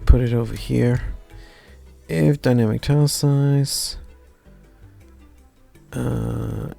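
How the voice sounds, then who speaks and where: A man's voice: medium pitch, steady tone, drawn-out words, gravelly, dark tone, faint music behind. A man from Germany with a German accent, thinking aloud as he codes live and chats with his viewers in a wry, self-deprecating way. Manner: self-deprecating, wry, mutters half-finished lines. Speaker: live-streaming coder. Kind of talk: thinking aloud while coding, chatting with viewers.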